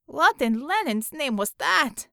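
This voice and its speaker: A woman's voice: sits high, animated, slow pace, creaky, sharp tone, no echo, clean studio sound, pitched above the performer's own voice, full character voice. A woman doing a menacing Russian female commissar. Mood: scornful, demanding. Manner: broken English, taunting, threatening.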